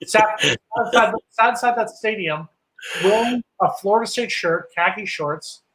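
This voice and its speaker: A man co-hosting a casual sports chat, ranting sarcastically in a high voice. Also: moderate pace, fairly bright tone, poor audio. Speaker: co-host.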